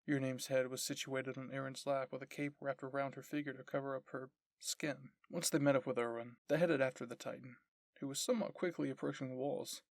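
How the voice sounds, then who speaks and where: A man's voice: medium pitch, steady tone, brisk, dry recording. A man reading scripted story narration as the narrator.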